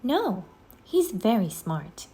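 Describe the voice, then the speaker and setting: A woman voicing an eager, trusting young girl in a children's storybook, with an excitable, emphatic manner. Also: medium pitch, slow, voice pushed up. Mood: curious, tender. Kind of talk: children's storybook read-aloud.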